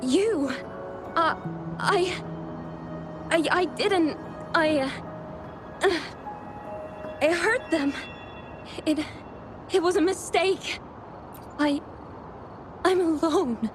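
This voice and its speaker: A woman's voice: very high-pitched, extremely slow, long pauses, over music, full character voice. A woman playing a frightened, guilt-ridden young woman in a video game, stammering in broken phrases. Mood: distraught, guilty. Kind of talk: video game character dialogue.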